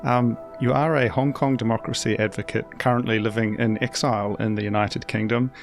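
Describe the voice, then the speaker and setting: A man hosting an interview podcast, asking questions in a measured, conversational way. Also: medium pitch, fairly even intonation, vocal fry, faint music behind. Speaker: podcast host.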